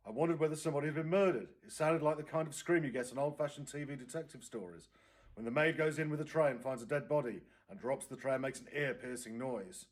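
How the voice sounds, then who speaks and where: A British man reads a children's novel aloud in the manner of a primary teacher. His voice sits at a medium pitch. The narration is expressive and clearly performed for young listeners. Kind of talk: expressive storybook reading aloud.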